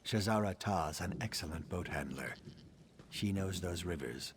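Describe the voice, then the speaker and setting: A low-voiced, scholarly reptilian assassin from a fantasy video game. He speaks formally and with measured care, brooding and wryly sardonic. Some ambient noise sits behind the voice. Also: animated. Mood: dryly obliging. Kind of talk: video game dialogue acting.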